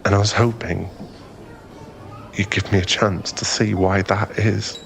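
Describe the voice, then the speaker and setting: Over a noticeable bed of noise comes a man acting as a smitten young man with a low voice. He talks slowly and haltingly, stammering and rambling awkwardly, in the intimate manner of an ASMR boyfriend audio drama. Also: little pitch movement, gravelly. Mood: awkward, hopeful.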